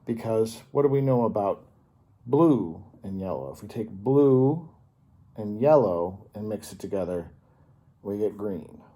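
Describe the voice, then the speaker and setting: A man reading a picture book aloud to children as a story time host, pausing to explain and ask simple questions in a teacherly way. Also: fairly low pitch, lively intonation, mellow, slightly rough.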